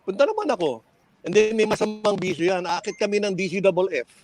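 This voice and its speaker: High-pitched older Filipino man reminiscing. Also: sing-song, fast, darkish tone. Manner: hesitant, repeats phrases, slips between English and Tagalog. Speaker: veteran radio personality and guest. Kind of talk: casual reminiscing, storytelling.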